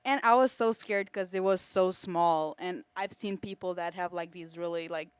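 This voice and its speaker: A woman's voice: fairly low pitch, moderate pace, clean tone, dry recording. A young woman in her teens from Kosovo, chatting casually as an exchange student being interviewed.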